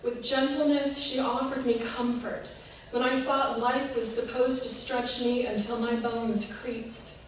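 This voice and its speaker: A woman giving an expressive, measured reading of a literary piece, recorded in a reverberant room from a distance. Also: on the high side. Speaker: reader of a short literary piece. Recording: echoey room, distant mic, faint noise.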